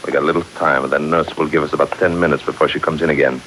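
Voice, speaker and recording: An actor playing a bossy, conceited crime boss, curt and sneering, in a 1940s radio drama. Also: male voice, extremely low pitch, steady tone, racing, fairly bright tone. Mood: domineering, sneering. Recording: hissy, noisy 1940s radio recording.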